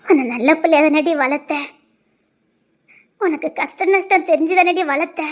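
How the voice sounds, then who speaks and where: A woman's voice: very high-pitched, normal speed. An actress playing a mother who scolds her daughter and preaches about bad company at length. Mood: scolding. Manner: scolding, moralizing, in long proverb-like sentences. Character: stern mother lecturing her grown daughter.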